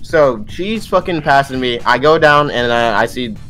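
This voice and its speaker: A man's voice: medium pitch, lively intonation, a little slow, fairly bright tone, soft background music, poor audio. A man in a casual game voice chat, talking insistently in slang with frequent swearing. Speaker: player in a group online game. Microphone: gaming voice chat.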